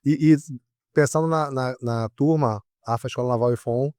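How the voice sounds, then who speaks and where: A man's voice: medium pitch, normal speed, mellow, no echo. A man with a Brazilian Portuguese accent hosts a podcast in a mid-range voice. He asks chatty questions and rewords them as he goes. The sound is dry and close. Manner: chatty, rephrases questions at length. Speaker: podcast host and interviewer. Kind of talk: casual interview questions.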